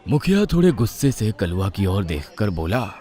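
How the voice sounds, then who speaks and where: A man's voice: on the low side, big pitch swings, moderate pace, mellow, some chatter behind. A man telling a scripted horror story as a dramatic narrator. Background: music.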